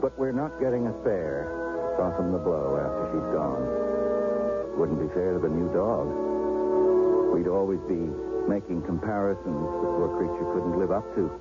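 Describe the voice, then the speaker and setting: A man narrating his own story in a 1970s radio drama in a measured, reflective way. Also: deep voice, close to an everyday voice. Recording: dull vintage radio recording with loud music.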